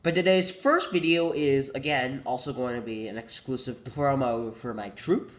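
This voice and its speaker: A man's voice: mid-range voice, normal speed. A teenage boy with a cold, congested and coughing, rambling casually and correcting himself, saying "like" a lot. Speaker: vlogger.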